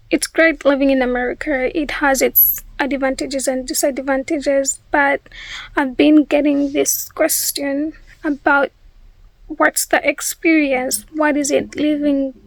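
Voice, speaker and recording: Young Ugandan woman, high-pitched, unhurried. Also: dry recording, poor audio. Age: young adult. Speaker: YouTube vlogger. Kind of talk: casual talk to viewers.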